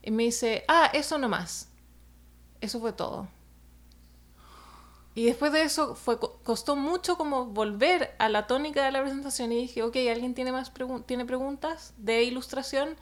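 Woman storyteller, slightly high voice, hesitant, lots of fillers, casual chat. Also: normal speed. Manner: hesitant, many fillers, laughs while telling. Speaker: illustrator telling a story.

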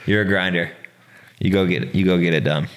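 Deep-voiced laid-back guy guest. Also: steady tone, a little fast. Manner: easygoing, encouraging, lots of "like". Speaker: podcast guest, pro snowboarder. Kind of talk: casual podcast chat.

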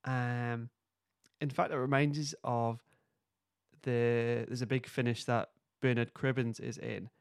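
A man's voice: mid-range voice, flat delivery, a little slow, creaky, clear, resonant tone, dry recording, clean studio sound. A man co-hosting a podcast in loose, informal conversation. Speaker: podcast co-host. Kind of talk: casual chat.

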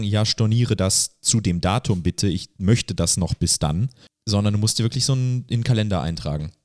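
Low-voiced man chatting on a podcast. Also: a little mellow, no room sound, studio quality. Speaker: podcast host. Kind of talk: casual conversational podcast chat.

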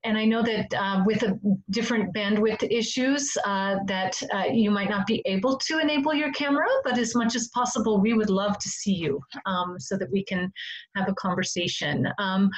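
A woman's voice: mid-range voice, poor audio. Friendly woman hosting a video call. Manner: friendly, halting with many ums. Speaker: host of an online discussion. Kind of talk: welcoming video-call moderation.

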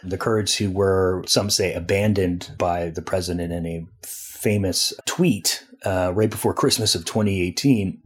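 A man's voice: sits low, a little slow, hardly any pauses, husky. A man speaking as a foreign news editor and interview guest, giving a long analytical answer with frequent fillers and restarts. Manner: discursive, many restarts and fillers.

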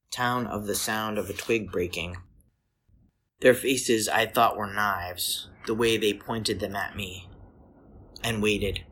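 A man giving a literary poetry reading in a measured, solemn manner, with deliberate pauses between short phrases. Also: lowish voice, fairly bright tone. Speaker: poetry reader.

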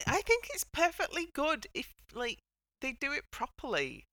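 A woman's voice: high-pitched, huge pitch swings, normal speed, pushed and bright, no room sound. A woman hosting a casual panel chat in a wry, playful way. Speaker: podcast host.

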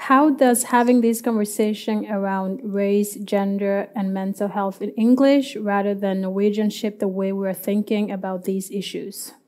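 A woman's voice: mid-range voice, steady tone, measured pace, mellow. A woman reading out audience questions at a panel. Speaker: question reader.

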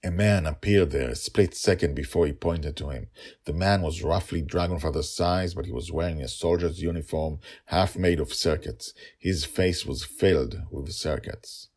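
A man with a non-native English accent that catches on L's and R's, telling a story in a theatrical, emphatic, husky way as narrator. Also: low-pitched, moderate pace, a little mellow. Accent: non-native English accent, trouble with L's and R's. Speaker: host, writer and narrator of a serial story podcast.

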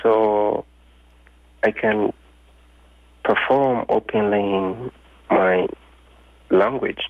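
A man whose first languages are local tongues speaks, answering as a guest performer poet slowly and deliberately. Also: fairly low pitch, dry recording, poor audio. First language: Ghanaian languages (Twi, Ga, Dangme, Ewe). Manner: slow and deliberate, pausing often. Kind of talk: casual interview answers.

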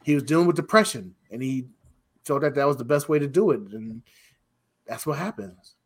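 A man with a rough voice talking bluntly and casually as a co-host on a talk show, sounding exasperated. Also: mid-range voice, expressive, brisk. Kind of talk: casual opinionated conversation.

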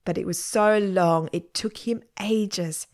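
A woman's voice: mid-range voice, fairly even intonation, slow, darkish tone. A woman voicing a sassy, squeamish girl who likes to taunt and one-up in a children's story.

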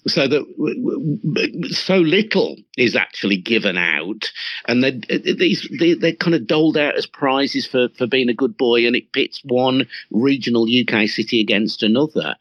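An English man hosts a lively discussion, his pitch swinging widely as he rushes through his points and trips over restarts. His voice is dry and close, but the sound is a little dull. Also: dry recording. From England. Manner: lively, quick bursts with stumbling restarts and laughs. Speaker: radio phone-in host. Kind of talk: animated discussion hosting.

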